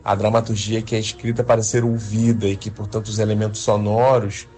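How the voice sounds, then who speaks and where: Brazilian guy kinda low voice explaining stuff in an interview, halting, light music under. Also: flat delivery, darkish tone. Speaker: interviewed radio drama creator.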